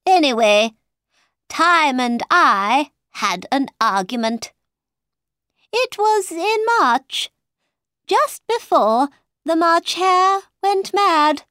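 A woman's voice: sits high, lively intonation, drawn-out words, pushed and bright, no room sound, clean studio sound, voice pushed up, exaggerated voice. A woman voicing an eccentric, irritable man in a children's storybook, blunt and emphatic. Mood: emphatic, storytelling. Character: Mad Hatter, eccentric irritable man at tea party.

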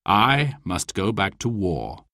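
A man voicing a calm, stern and regal knight-king in a storybook. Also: fairly low pitch, takes it slowly, no echo, studio quality, pitched below the performer's own voice, heavily acted.

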